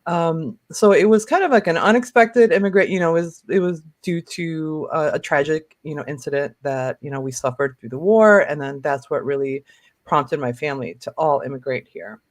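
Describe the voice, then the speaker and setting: A woman of fifty from Lebanon and Michigan, telling personal stories casually and haltingly, often saying "you know" and "like". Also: sits low, no room sound. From born in Lebanon, raised in Michigan. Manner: hesitant, peppered with "you know" and "like". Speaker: interview guest, filmmaker.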